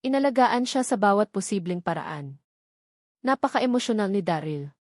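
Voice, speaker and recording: A woman's voice: medium pitch, animated, moderate pace, no echo, clean studio sound. A woman reading a novel aloud as a scripted audiobook narration.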